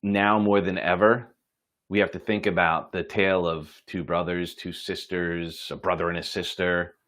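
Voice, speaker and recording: A man telling a motivational story in an informal, folksy and earnest way, pausing for dramatic effect. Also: low voice, flat delivery, a bit bright. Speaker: host telling a moral story.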